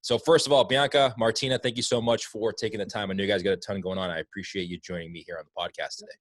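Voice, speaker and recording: A man's voice: on the low side, steady tone, dry recording. A man hosting a podcast, upbeat as he welcomes his guests and asks them questions. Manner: upbeat, fast-talking host. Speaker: podcast host. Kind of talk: podcast interview.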